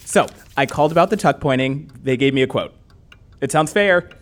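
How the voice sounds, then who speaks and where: A man's voice: medium pitch, fairly quick, close to an everyday voice. A man playing a polite, orderly household assistant. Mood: polite, careful. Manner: polite, matter-of-fact. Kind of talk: audio fiction acting.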